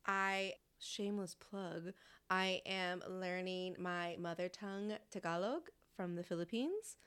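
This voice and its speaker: A woman in her thirties hosting a podcast in a casual, expressive, informal conversational way. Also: fairly low pitch, measured pace, clear, resonant tone. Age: 30s. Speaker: podcast host.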